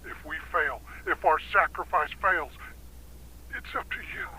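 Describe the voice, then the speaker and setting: An actor playing a fanatical, guilt-ridden father who confesses on a recording, intense and halting, in an audio drama. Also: fairly high pitch, sharp tone. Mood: urgent, fearful. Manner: intense, halting, swinging from whispers to outbursts. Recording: noisy, hissy taped message.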